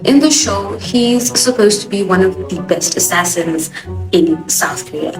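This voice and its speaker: A young Swahili-speaking woman with a Johannesburg accent hosts a casual, opinionated review, punching her words with animated emphasis. Her voice sits in a middle range and rings in an echoey room. Music plays clearly behind her. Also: mid-range voice, unhurried. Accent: South African (Johannesburg). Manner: expressive, sassy, animated emphasis. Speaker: TV show reviewer, host.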